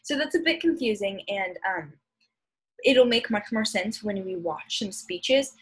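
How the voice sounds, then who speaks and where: A young American woman with a husky, slightly breathy voice teaches informally. She explains in a hesitant way with trailing asides, at a middle pitch. It is recorded on a computer mic in a small room at home. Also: lively intonation, unhurried. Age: young adult. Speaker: speech and debate instructor. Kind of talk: informal slide presentation. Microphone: computer mic on a video call.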